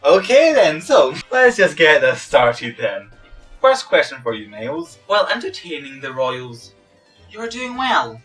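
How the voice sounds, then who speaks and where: Bright, sardonic royal butler played by an amateur actor. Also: mid-range voice, sing-song, unhurried, distant mic, soft background music. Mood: dry, formal. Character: dry, proper royal butler with morbid streak. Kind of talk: improvised in-character question-and-answer skit.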